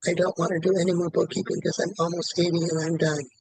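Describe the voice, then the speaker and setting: A man in his late seventies, a client in a tapping session, who repeats one statement over and over in an even rhythm. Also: a little high, quick pace, creaky, breathy edge, no room sound. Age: 70s.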